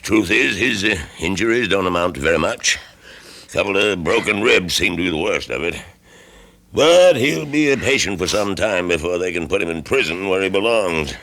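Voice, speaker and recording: A man acting as a calm, folksy family doctor in an old-time radio mystery, reassuring and a little hesitant. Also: low-pitched, normal speed.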